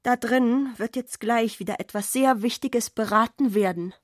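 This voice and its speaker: Woman reading literary narration for a radio play, fairly high voice, dry studio sound. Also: moderate pace, no echo. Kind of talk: literary scripted storytelling.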